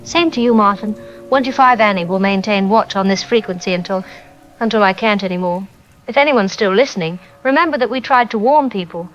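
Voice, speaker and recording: A woman's voice: mid-range voice, fairly quick, fairly bright tone, natural voice. A woman playing a resigned, solemn radio operator speaking reflectively in an apocalyptic radio drama. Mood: resigned, steadfast. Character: somber, resigned female radio operator survivor. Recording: dull, noisy radio with rain.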